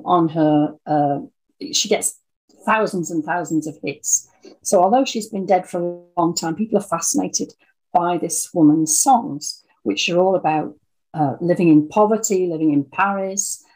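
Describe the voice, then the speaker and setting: A woman's voice: fairly low pitch, a little mellow, rough recording. An older woman from the English Midlands, with an English accent, talking conversationally as an interview guest and telling stories. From Birmingham, English Midlands. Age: 60s or older. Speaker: interview guest, audio drama writer.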